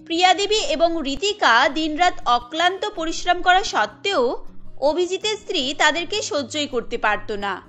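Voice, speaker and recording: A woman's voice: very high voice, animated, moderate pace, fairly bright tone. A woman narrating a scripted cartoon story as its storyteller. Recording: music bed under the voice.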